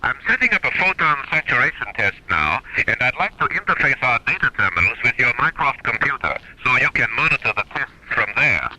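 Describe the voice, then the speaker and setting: Male moon-base scientist: serious, crisp, uneasy, medium pitch, piercingly bright radio-drama delivery. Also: big pitch swings, fairly quick, hardly any pauses, exaggerated voice, low-quality recording.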